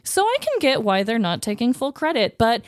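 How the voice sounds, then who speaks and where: A woman co-hosting a casual, comedic podcast, telling things in an animated, expressive way. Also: on the high side, fairly quick, clean tone, no room sound, studio quality. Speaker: podcast co-host.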